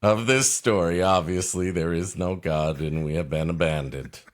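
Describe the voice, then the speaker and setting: A man co-hosting a comedy show, riffing on a story in a sardonic, deadpan joking way. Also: deep voice, moderate pace. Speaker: comedy co-host.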